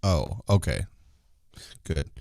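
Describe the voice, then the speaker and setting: A hoarse man co-hosting a live show, chatting casually and laughing often. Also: sits low, expressive, takes it slowly, a little mellow, dry recording, studio quality. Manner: laughs a lot. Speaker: co-host.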